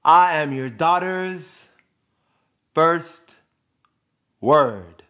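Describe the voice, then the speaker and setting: A man performing a dramatic poetic monologue, phrasing deliberately and emphatically with pauses for effect. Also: a little high, sharp tone. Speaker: spoken word performer.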